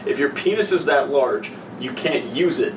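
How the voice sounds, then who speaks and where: A man's voice: medium pitch, animated, normal speed. A young man bantering casually and profanely with friends as a podcast co-host. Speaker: podcast co-host and healthcare worker. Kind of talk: casual joking chat among friends. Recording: echoey room, distant mic, clear noise.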